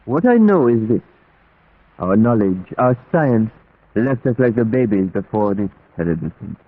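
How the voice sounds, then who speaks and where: Elderly vampire hunter, lowish pitch, grave, deliberate, insistent, old radio drama, narrow tinny sound. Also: male voice, sing-song, no echo. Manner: solemn, deliberate, foreign phrasing. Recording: muffled, narrow-band old radio recording.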